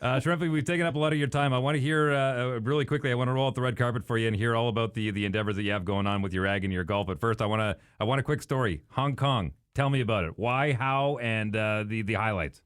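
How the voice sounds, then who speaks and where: A man hosting a casual podcast who throws out eager questions and quick jokes. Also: medium pitch, fairly bright tone, clean tone. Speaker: podcast host. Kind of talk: casual interview chat.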